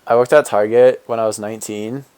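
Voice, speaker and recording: A young man in his twenties with a fairly low, deep voice streams a game and chats loosely with his viewers. He rambles in an easygoing way, full of fillers and restarts, as he answers their comments. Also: steady tone, a little fast, darkish tone, clean tone. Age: 20s. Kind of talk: casual live-stream chat, reading and answering viewer comments.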